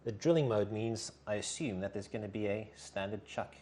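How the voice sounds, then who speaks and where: A man's voice: fairly low pitch, moderate pace, darkish tone. A man in his thirties chatting casually and commenting as co-host of a product demonstration. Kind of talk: casual chat, reacting and commenting. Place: indoor tool workshop showroom.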